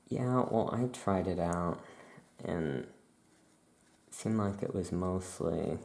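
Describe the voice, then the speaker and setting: A very creaky, soft-spoken voice plays an easygoing witch shopkeeper in an ASMR roleplay. The delivery is slow and rambling, often trailing off. It sounds recorded in a roomy, echoing space. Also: low-pitched. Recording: roomy echo, faint background noise.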